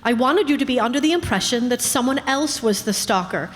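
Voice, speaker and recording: A woman's voice: a little high, lively intonation, brisk, natural voice. A woman playing a defiant, scheming prisoner who shields her brother in an old-time radio drama, aggrieved and insistent. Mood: pleading, insistent. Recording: reverberant hall, faint background noise.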